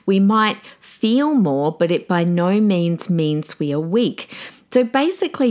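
A middle-aged woman hosting a podcast in a chatty, excitable way, often calling her listeners guys, her voice slightly croaky. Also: fairly low pitch. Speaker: podcast host; preacher. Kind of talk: casual podcast monologue.

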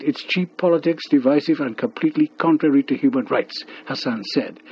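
Dull, lo-fi shortwave radio sound carries a male newsreader. His voice is husky and a little higher than most men's, and he reads formal scripted news.

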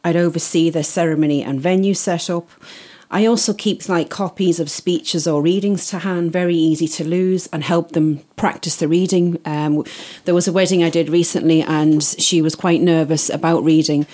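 A middle-aged woman with an Irish accent, a guest explaining her work in a casual podcast interview, steady and detailed. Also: lowish voice, normal speed, hardly any pauses. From Isle of Man. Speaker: guest, wedding PA.

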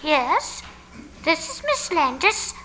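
A woman's voice: very high-pitched, very animated, slow pace, bright, forceful tone, heavily acted. A woman playing a polite single lady tenant in a live old-time radio suspense play. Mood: polite, questioning. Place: live performance at a winery. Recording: noisy live stage recording.

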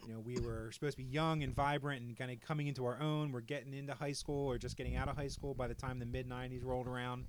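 A man's voice: mid-range voice, fairly even intonation, fast talker, few pauses. Easygoing man in his forties hosting. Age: 40s. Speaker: podcast host. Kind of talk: casual chat.

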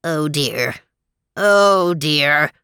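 A woman voicing a gruff male troll, a small hedgehog in disguise, with a deep growl in a storybook reading. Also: animated, sharp tone, no room sound, clean studio sound, heavily acted. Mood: dejected. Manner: slow, menacing demands.

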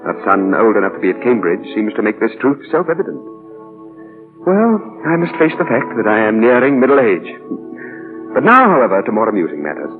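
Witty English novelist, tinny old radio. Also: male voice, on the low side, very animated, a little fast, music in the background. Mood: reflective. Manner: theatrical, playful. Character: witty, teasing English novelist nearing middle age. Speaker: radio actor. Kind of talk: 1940s radio acting. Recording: tinny, band-limited 1940s radio broadcast.

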